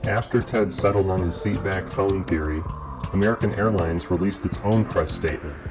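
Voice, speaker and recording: A man reading scripted documentary narration in a measured, formal way, as the narrator. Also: deep voice. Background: guitar music.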